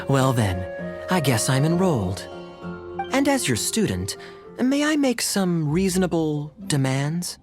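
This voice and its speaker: A man voicing a sly young knight in a video game, smooth-talking, wry and mock-polite. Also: fairly high pitch, sing-song, slow pace, long pauses, music in the background. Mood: sly, amused. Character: suave, sly, teasing young male knight. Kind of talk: animated video game voice acting.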